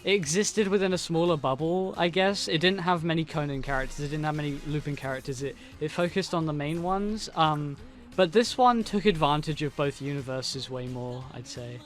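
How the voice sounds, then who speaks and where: A man's voice: a little high, normal speed, soft background music. A young man co-hosting a casual movie review chat, rambling with many fillers and restarts. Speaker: podcast co-host.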